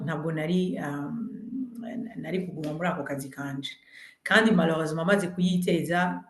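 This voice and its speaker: A woman's voice: fairly low pitch, echoey room. A woman political candidate from Burundi whose first language is French, earnestly answering questions in a virtual community meeting. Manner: earnest, mixing languages, persuasive. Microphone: video call.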